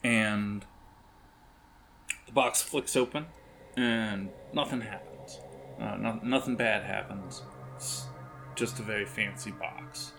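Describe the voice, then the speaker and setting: Man, game master, creaky voice, casual tabletop narration, hesitant rambling phrasing, faint noise. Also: on the low side, steady tone, slow, long pauses.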